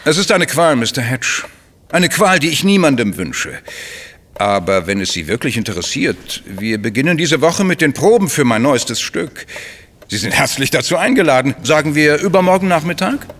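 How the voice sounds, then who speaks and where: A man's voice: on the high side, very animated, moderate pace, a bit bright. A man playing a suave, self-important crime writer in a German radio drama, eloquent and effusively polite. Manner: pompous, florid, eloquent.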